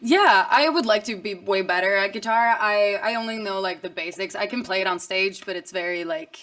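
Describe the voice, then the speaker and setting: A young woman from Sweden speaks English, talking about her music in a relaxed, upbeat way. Her voice sits in an ordinary middle range. She laughs easily between answers. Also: medium pitch. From Stockholm, Sweden. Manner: upbeat, chatty, quick laughter. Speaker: musician guest. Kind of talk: casual interview chat.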